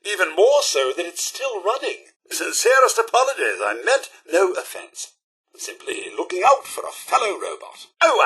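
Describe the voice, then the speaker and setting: A loyal, fussy robot butler for a video game, speaking in a formal British accent. The voice sits fairly high and is bright and crisply forceful, with very lively rises and falls. The manner is prim, theatrical and sardonic. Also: male voice, exaggerated voice. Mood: eager, proud. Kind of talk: video game companion voice acting.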